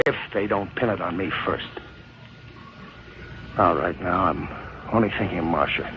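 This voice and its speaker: An actor playing a desperate married man on the run in an old-time radio crime drama, urgent and halting, with a gravelly voice. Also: low voice. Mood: anguished, resigned. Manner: urgent, pressing, halting when cornered. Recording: muffled, hissy old radio recording.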